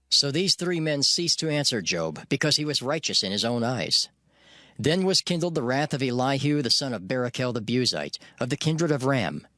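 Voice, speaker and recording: Expressive male scripture narrator, dry sound. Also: mid-range voice, no room sound.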